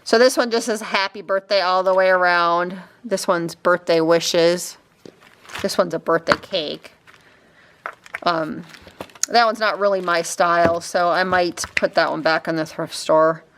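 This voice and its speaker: A woman chatting casually and a bit ramblingly as she shows craft finds, her throat slightly raspy. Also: lowish voice, steady tone, measured pace, background noise. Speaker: crafting vlogger. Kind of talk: casual chatty haul show-and-tell.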